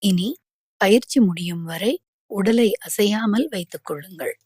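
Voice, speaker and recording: A woman guiding a yoga nidra relaxation, giving calm, measured instructions with pauses between phrases. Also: medium pitch, husky, no room sound, studio quality. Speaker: yoga nidra meditation guide.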